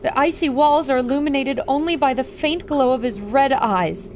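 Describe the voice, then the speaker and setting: A woman's voice: high voice, normal speed, natural voice. A woman playing a plucky radio reporter on an expedition, earnest and theatrical in an old-time radio adventure. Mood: grave reporting. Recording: loud storm and ambient noise.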